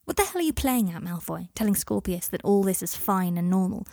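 Woman playing blunt awkward man, audiobook, abrupt, defensive, plain-spoken, husky, dry close sound. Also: on the high side, normal speed, darkish tone, dry recording. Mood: indignant. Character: blunt, awkward, defensive man, secretly smitten with old rival.

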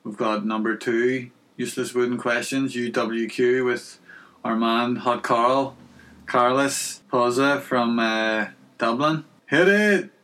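A man's voice: medium pitch, takes it slowly, fairly bright tone. Easygoing co-host man. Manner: agreeable, short interjections. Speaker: podcast co-host. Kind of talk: casual chat.

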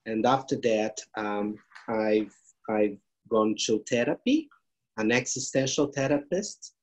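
A man from Brazil with a Brazilian Portuguese accent, a guest speaking earnest, halting English with fillers. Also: lowish voice, poor audio. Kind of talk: interview answers.

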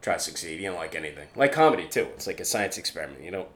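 A very deep-voiced young man from Canada chats about street performing in a relaxed interview. He gets animated, lands his points with punchy repetition and sprinkles in plenty of casual fillers. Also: racing. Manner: animated, builds to emphatic points with repeats. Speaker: street performer and escape artist.

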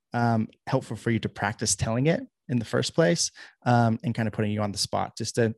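A man's voice: medium pitch, no room sound, studio quality. Upbeat young man hosting a podcast. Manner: upbeat, energetic, many fillers. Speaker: podcast host. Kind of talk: casual podcast chat.

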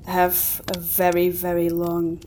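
A woman's voice: fairly low pitch, completely flat delivery, takes it slowly, a little mellow, slight background noise. A woman co-hosting a casual podcast conversation, speaking a little hesitantly as she feels for her words. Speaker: podcast co-host.

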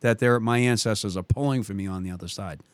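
A man's voice: lowish voice, fast talker, no room sound. A man with a Boston accent hosting a talk show, telling stories in a loose, rambling way with many restarts and asides. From metropolitan Boston. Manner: talkative, lots of restarts and trailing asides. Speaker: podcast host. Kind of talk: rambling conversational storytelling.

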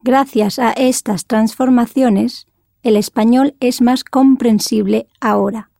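Gravelly, mellow woman answering. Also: on the high side, steady tone, normal speed, no echo, clean studio sound. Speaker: student speaker. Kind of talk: reading practice answers.

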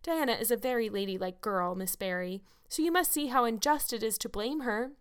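Storybook role: impulsive young girl, played by a woman narrator, high-voiced, earnest, pleading and dramatic. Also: brisk, smooth, natural voice.

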